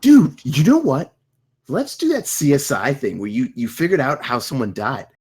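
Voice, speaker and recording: A man playing a flippant, casually clueless twenty-eight-year-old in an animated comedy table read. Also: medium pitch, normal speed, breathy edge.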